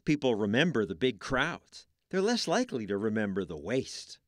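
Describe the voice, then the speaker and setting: A man's voice: medium pitch, big pitch swings, moderate pace, no room sound, clean studio sound. A man reading scripted news narration in a wry, emphatic voice-over. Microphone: studio voice-over.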